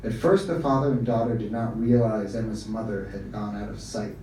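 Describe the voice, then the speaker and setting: A man giving a live reading of a short story at a bar event, in a measured, even storytelling manner. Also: fairly low pitch. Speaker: story reader. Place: bar event room, indoors. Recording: echoey room from far off, faint noise.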